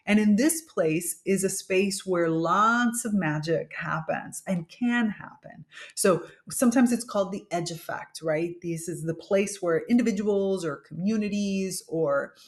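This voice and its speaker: A woman from Miami hosting a solo podcast, explaining ideas in a thoughtful, unhurried way with frequent fillers and "right?" asides. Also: medium pitch. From United States (Miami). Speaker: podcast host. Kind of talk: reflective solo podcast talk.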